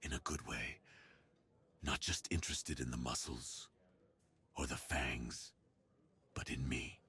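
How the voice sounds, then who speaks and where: A big, muscular werewolf with a very deep, husky voice, played by a man. He is gentle and bashful, speaking with earnest tenderness in a romantic ASMR roleplay. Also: steady tone, slow pace, heavily acted. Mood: pleased, tender.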